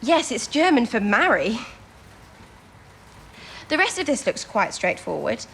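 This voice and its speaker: A woman's voice: on the high side, very animated, a little slow, vocal fry, fairly bright tone, a little hiss or hum, exaggerated voice. A woman playing an earnest, spirited young wartime codebreaker, speaking expressively and well-spoken in a period radio drama. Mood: curious. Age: young adult.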